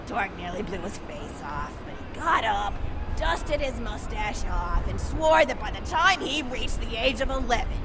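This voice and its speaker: A woman's voice: a little high, noisy background. An actress voicing a cheery, eccentric old grandmother in a video game, a doting, rambling storyteller. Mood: proud, gleeful. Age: older. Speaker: game character. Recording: game audio with music and crowd.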